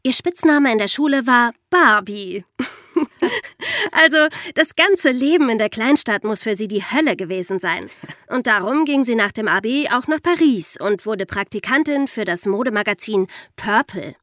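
A woman co-hosting a scripted true-crime podcast, narrating in a lively, lightly playful way. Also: on the high side, normal speed, no echo, clean studio sound. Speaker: podcast co-host.

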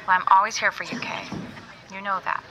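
An actress playing a supportive, affectionate friend in a naturalistic audio drama, encouraging and tender. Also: female voice, mid-range voice, fairly even intonation, a little fast, dull audio, noisy background, lightly acted. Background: music.

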